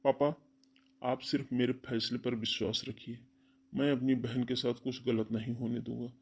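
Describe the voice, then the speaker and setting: A man's voice: mid-range voice, little pitch movement, moderate pace, husky. A man voicing a stern, domineering elder brother, firm and insistent, in a cartoon family melodrama.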